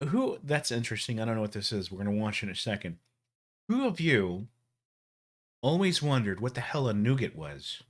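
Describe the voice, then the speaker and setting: A man's voice: on the low side, very animated, normal speed. A man in his forties hosting a casual live stream, chatty and jokey as he thanks viewers for tips. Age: 40s.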